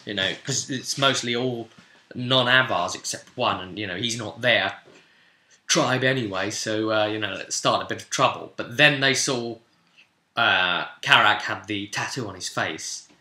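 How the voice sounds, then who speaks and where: A man's voice: fairly low pitch, measured pace, pushed and bright. A man giving a casual, rambling spoken recap of a tabletop role-playing session as its game master. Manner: rambling, conversational asides. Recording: roomy, echoing voice recording.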